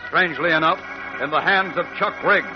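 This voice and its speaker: A man's voice: a little high, a little fast. An American man narrating a 1940s radio serial in a dramatic, declamatory way. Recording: muffled 1940s radio recording. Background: music.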